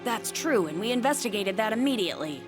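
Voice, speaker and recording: A woman plays a methodical young investigator in an anime dub. The voice is mid-pitched, composed and self-assured, with courteous phrasing. Soft music is behind it. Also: expressive, fast talker, close to an everyday voice. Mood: serious.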